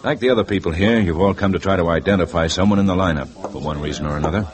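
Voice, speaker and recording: A man performs a big-city police lieutenant with a very deep voice. He is laconic and steady, keeping his phrases short and unhurried. The delivery is that of 1950s radio crime acting. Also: a crowd in the background, natural voice. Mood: calm, reassuring. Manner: terse, unhurried, reassuring.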